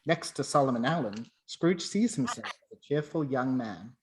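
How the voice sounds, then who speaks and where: A man's voice: mid-range voice, big pitch swings, normal speed, poor audio. A man reading scripted dramatic narration for a radio play.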